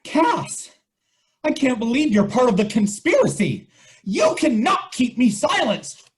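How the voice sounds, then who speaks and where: A woman plays a paranoid, indignant conspiracy believer in a comic farce. She declaims defiantly, her high voice rising and falling sharply. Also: very animated, measured pace. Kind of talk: amateur comic farce acting over video.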